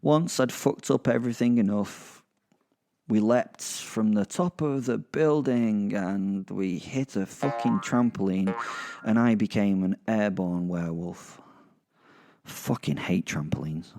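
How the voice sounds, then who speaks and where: A man telling first-person dark comedy horror stories in a sardonic, conversational, sweary manner as a petty werewolf. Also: on the low side, slow. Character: petty, sardonic werewolf narrating his own misdeeds. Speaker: podcast host and storyteller narrating in character.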